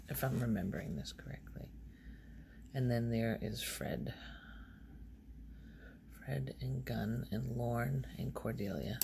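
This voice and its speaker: Soft spoken asmr lady, kinda low creaky fry, takes her time, hesitant rambly thinking out loud. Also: noisy background. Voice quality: soft, breathy, often slipping toward a whisper. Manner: hesitant, musing, thinking aloud. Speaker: ASMR video creator.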